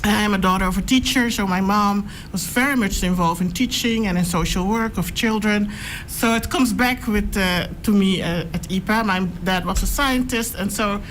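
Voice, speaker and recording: A middle-aged woman speaks in a thoughtful, measured way, often pausing with a soft "uh" while she finds the right words. Her voice sits at an ordinary pitch for a woman. A faint noise hangs under the recording. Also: rough recording. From Curaçao, raised in the Netherlands. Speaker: guest researcher. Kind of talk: radio interview answers.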